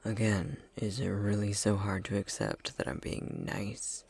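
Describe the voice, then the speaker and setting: A man's voice: fairly low pitch, lively intonation, unhurried, gravelly, darkish tone, breathy edge, heavily acted. A man playing a prickly, grudgingly caring elf academic in an ASMR roleplay, with a curt, haughty, exasperated delivery that often drops into whispers. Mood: dry, grudgingly kind.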